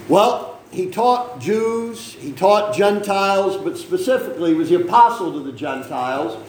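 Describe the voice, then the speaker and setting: A male preacher with a notably high voice teaches from scripture, talking to the congregation in an easy, conversational way. He keeps checking in with them through questions and throws in small jokes. The sound rings around a roomy church hall, with a faint hum of ambience behind it. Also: a little mellow. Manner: conversational, asks the congregation questions, joking asides. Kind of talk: sermon, Bible reading and teaching.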